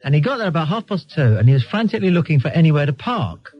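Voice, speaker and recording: A man's voice: a little high, very animated, quick pace. An English man hosting a live radio phone-in, bantering and telling anecdotes in a cheeky, teasing, sardonic way. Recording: dull lo-fi radio broadcast recording.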